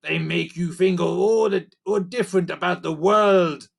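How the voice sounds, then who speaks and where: A man voicing Grunt, a grumpy male creaker creature who hisses and barks in broken grammar. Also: high voice, moderate pace, pitched above the performer's own voice, heavily acted. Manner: hissing, barking, broken grammar.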